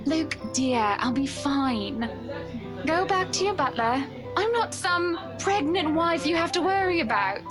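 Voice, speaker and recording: A woman playing a high-voiced, gracious society wife. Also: expressive, unhurried, music in the background. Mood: coaxing, firm. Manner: polite, coaxing, teasing. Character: gracious, poised upper-class wife, gently teasing and firm. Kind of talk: visual novel character acting.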